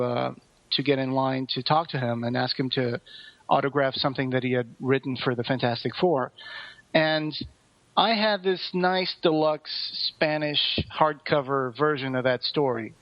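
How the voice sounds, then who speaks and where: A man's voice: medium pitch, normal speed. Enthusiastic podcast man in a roomy, dull recording. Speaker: podcast co-host. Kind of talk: casual chat.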